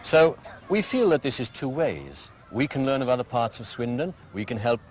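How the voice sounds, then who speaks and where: A middle-aged Welsh headmaster answering interview questions in a measured, explanatory way, giving plenty of examples. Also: male voice, medium pitch, sing-song. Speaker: school headmaster. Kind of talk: archive community TV interview answers.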